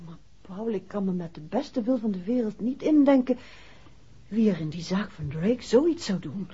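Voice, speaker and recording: An actress playing a refined, excitable detective's wife with a husky voice in a 1960s radio drama. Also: female voice, mid-range voice, moderate pace, slight background noise, natural voice. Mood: thoughtful, chatty. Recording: dull 1960s radio recording.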